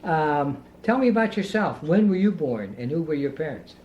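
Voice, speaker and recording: A woman's voice: sits low, very animated, moderate pace, muffled recording. A woman interviewing an elderly person for an oral history program, asking friendly, encouraging questions. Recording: 1980s cassette tape, faint hiss.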